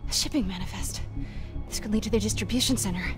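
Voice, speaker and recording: A woman playing a determined young investigative reporter in a video game, brisk and businesslike. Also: mid-range voice, lightly acted. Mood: focused. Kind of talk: video game cutscene acting. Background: game music.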